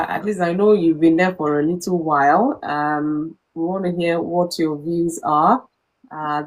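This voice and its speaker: Woman, middle-aged, live stream host, faith talk, low-ish voice. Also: rough recording. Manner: warm greetings to viewers, many fillers.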